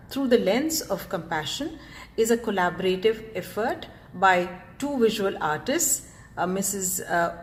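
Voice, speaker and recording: A woman introducing a cultural program in a formal welcome speech, pausing often with fillers. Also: mid-range voice, a little hiss or hum. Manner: hesitant, many filler pauses.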